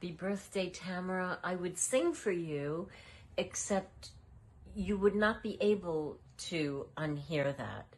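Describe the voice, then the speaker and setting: A woman giving an earnest, gentle birthday blessing, joking that she will not sing. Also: fairly low pitch, slow. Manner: gentle, earnest, self-deprecating. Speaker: well-wisher. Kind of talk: recorded birthday message.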